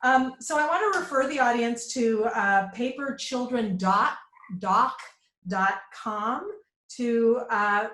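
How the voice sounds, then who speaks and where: A woman's voice: medium pitch, echoey room. A woman moderating a live online panel, asking questions with frequent restarts and "uh". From United States, parents from Argentina. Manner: warm-up questions with halting restarts. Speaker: moderator and journalist. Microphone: video call.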